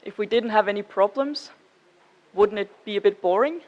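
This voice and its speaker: A woman from Denmark with a Danish accent, presenting to a conference audience in an informal, measured manner and checking in with her listeners. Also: medium pitch, a little mellow, highs cut off. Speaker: conference speaker.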